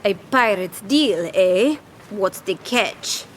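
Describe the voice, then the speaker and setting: An actress playing a bold, ambitious pirate captain in an animated adventure, with a swaggering, sardonic and theatrical delivery. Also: female voice, mid-range voice, slow pace, a little hiss or hum. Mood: wry, wary.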